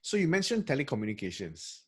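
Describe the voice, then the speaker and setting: A man's voice: medium pitch, big pitch swings, fast, husky. Friendly male podcast host. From Malaysia. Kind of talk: podcast interview over video call. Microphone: video call.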